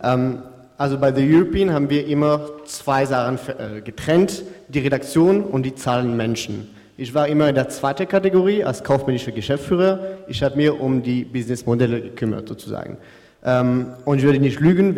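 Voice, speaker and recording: A man with a French accent speaks German as a panel guest, a media company director. His account is candid and halting, broken up by fillers. His pitch is mid-range, and the sound carries the long reverb of a hall. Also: darkish tone. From France. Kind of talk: unscripted panel talk.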